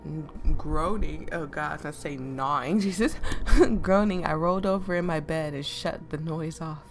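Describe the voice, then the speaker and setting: A woman with a deep voice that sounds sick, chatting casually and reading game text aloud as a let's play host, easily amused and stumbling over words. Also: normal speed, faint music behind. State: sick, voice affected.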